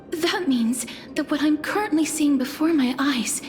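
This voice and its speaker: A woman's voice: high voice, slow. An actress playing an earnest young woman who explains and wonders aloud. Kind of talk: game voice acting. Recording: game music behind.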